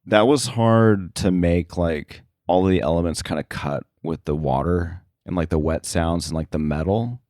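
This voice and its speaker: A man speaking casually as an interview guest about his work, thinking aloud in a halting way. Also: low voice, a little mellow, no room sound, clean studio sound. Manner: halting, thinking aloud, frequent filler likes. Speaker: sound editor, interview guest.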